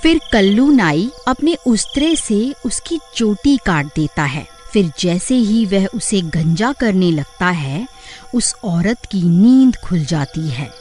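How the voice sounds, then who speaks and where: A woman's voice: mid-range voice, a little mellow. A woman narrating a scripted horror story as its storyteller.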